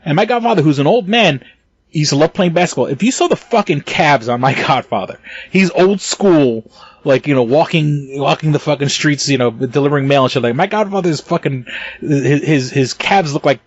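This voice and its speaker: A man on a casual podcast, pitched a little higher than most men, swearing freely as he gets worked up. He trips over his words and restarts, and the sound is dry and dull like a lo-fi home recording. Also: lively intonation, moderate pace, no echo. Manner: heated and profane, stumbling restarts, bursts of laughter. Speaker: podcast co-host.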